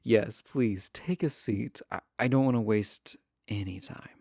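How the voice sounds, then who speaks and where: A man's voice: fairly low pitch, measured pace, creaky, mellow, husky, no echo, studio quality, full character voice. A man playing a shy, adorkable imprisoned villain in a romantic roleplay, speaking to the listener in a hesitant, stammering, earnest way. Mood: nervous, polite.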